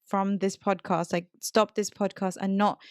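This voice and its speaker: Smooth-voiced woman hosting, dry close sound. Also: mid-range voice, flat delivery, a little slow, no room sound. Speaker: podcast host and English teacher. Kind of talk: casual podcast interview.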